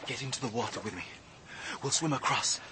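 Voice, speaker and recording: An actor playing an urgent, protective rescuer pleading in a radio drama. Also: male voice, medium pitch, brisk, natural voice. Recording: clear background noise.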